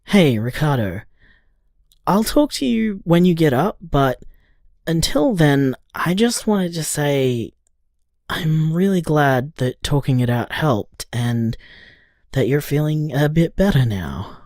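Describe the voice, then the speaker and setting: Male actor as devoted friend in intimate audio drama. Tender, sincere, very unhurried, dry close sound. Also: on the high side, animated, darkish tone, no echo. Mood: tender, reassuring. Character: caring, devoted male friend leaving a reassuring voicemail.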